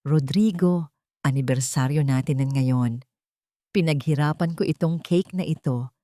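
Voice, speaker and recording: Woman acting wounded wife, strained and pleading, lowish. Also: measured pace, warm tone, dry recording, studio quality. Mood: hurt, pleading. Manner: strained, holding back sobs.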